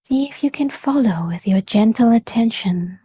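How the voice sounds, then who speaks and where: A woman leading a guided meditation in a gentle, calm and encouraging manner, leaving pauses between phrases. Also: on the high side, husky, no room sound. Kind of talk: guided body scan meditation.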